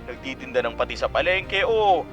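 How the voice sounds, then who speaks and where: Actor as bright, effusive showbiz agent man. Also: mid-range voice, expressive, normal speed, lightly acted. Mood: coaxing. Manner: effusive, coaxing, mixes English into Tagalog. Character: pushy, flattering male showbiz talent agent, gossipy and slick. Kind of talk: Filipino radio drama acting. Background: faint background music.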